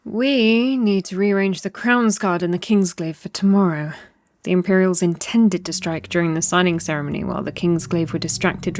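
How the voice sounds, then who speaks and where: A woman playing a resolute, war-weary young princess in a fantasy audio drama, measured, formal and earnest. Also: fairly low pitch, a little mellow, natural voice.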